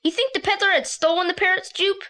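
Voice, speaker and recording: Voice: very high voice, fairly even intonation, fast, pushed and bright. An actor playing an eager, plain-spoken boy detective's sidekick in a 1980s audio play, informal and direct. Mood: curious.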